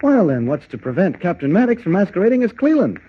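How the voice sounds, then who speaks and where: An actor playing an eager, quick-witted newspaper reporter hero in 1940s radio drama style. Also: male voice, sits high, very animated, breathy edge, full character voice. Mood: eager, persuasive. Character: eager, resourceful male newspaper reporter, mild-mannered hero. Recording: muffled 1940 radio recording.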